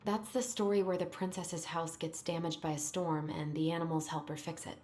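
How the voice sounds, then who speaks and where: An actress playing an earnest, determined young woman in a video game, soft-spoken and a little hesitant. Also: low voice, little pitch movement, fairly quick, roomy sound, close to an everyday voice. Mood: subdued. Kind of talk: naturalistic game cutscene dialogue.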